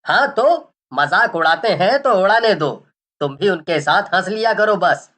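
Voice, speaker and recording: Village snake-charmer father voiced by a woman, caring, confident, slow measured speech, reassuring cartoon storybook parent. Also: medium pitch, lively intonation, fairly bright tone. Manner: reassuring, unhurried, matter-of-fact.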